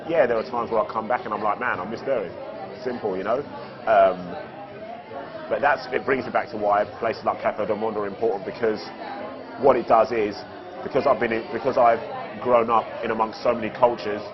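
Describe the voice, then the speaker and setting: A man's voice: fairly low pitch, lo-fi sound. A man, talking reflectively in a documentary interview and often restarting his phrases. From East End of London.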